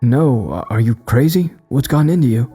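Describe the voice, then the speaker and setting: A shaken man caught somewhere frightening, voiced by a male narrator, talks nervously while trying to keep up some nerve. The voice is raised from a storyteller's low register yet stays very mellow, in audiobook style. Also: lively intonation, normal speed, husky, soft background music. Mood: nervous, indignant. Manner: nervous, pitched above the narration.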